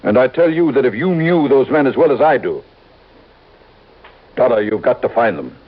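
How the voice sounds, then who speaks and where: A man's voice: medium pitch, lively intonation, moderate pace, close to an everyday voice. A man acting a fussy, stingy, anxious insurance executive in a mid-century radio drama, prim and insistent. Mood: agitated, insistent. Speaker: supporting actor in a radio drama. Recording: tinny, band-limited old radio recording.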